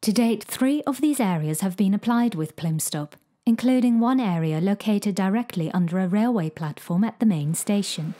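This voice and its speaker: A woman giving a measured, clear scripted narration for a corporate explainer video. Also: medium pitch, lively intonation, dark tone. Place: studio voice-over.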